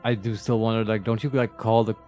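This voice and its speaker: A man's voice: on the low side, flat delivery, fast, a little mellow, faint music behind. A man chatting casually and jokily as he co-hosts a video game playthrough, thinking aloud. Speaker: let's play co-host.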